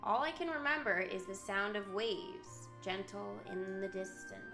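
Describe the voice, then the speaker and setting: A woman's voice: mid-range voice, expressive, unhurried. A woman streaming a video game, switching between live commentary and reading story text aloud. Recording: roomy echo, game music behind. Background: video game music.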